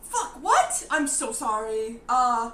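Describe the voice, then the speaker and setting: A woman's voice: on the high side, sing-song, takes it slowly, pushed and bright, distant mic. A woman chatting casually as co-host, telling stories animatedly, acting out her own reactions and laughing often. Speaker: co-host of a live stream. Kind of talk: casual chat. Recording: echoey room, somewhat off-mic, faint noise.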